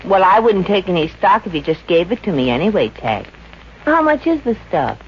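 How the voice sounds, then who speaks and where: A woman's voice: fairly low pitch, very animated, normal speed, a little hiss or hum. A woman playing a wisecracking, friendly young Brooklyn showgirl with an American accent in a 1950s radio comedy, wry and slangy. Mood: curious, skeptical. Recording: muffled old radio recording with music.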